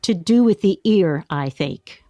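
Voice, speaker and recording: A demure wife with lively pitch. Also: female voice, a little slow, dull audio, soft background music. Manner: mild, understated, defensive. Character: demure, apologetic but quietly stubborn wife. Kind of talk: radio play acting.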